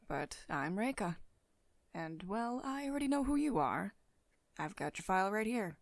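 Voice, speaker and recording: A woman playing a poised, teasing, mildly dominant company boss in an intimate ASMR roleplay, speaking in a measured, authoritative and condescendingly affectionate manner. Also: medium pitch, dry recording. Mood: poised, probing. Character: poised, teasing, mildly dominant female CEO of tech firm. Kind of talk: ASMR roleplay, intimate one-sided dialogue. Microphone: close studio-style microphone, dry voice-over.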